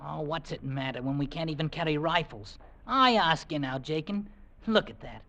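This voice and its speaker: A man's voice: a little high, animated, moderate pace, bright, forceful tone. An actor playing a young army drummer boy, cheeky and boastful, eager to win a medal. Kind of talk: 1940s radio acting. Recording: old radio broadcast with hiss.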